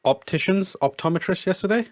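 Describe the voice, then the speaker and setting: A man's voice: sits high, expressive, fairly quick. A man chatting casually as a podcast panelist, with a wry, joking manner. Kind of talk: casual chat.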